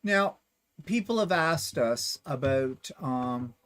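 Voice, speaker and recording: Medium-pitched man, wry casual co-host. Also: expressive, slow, fairly bright tone. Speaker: live show co-host. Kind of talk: casual chat.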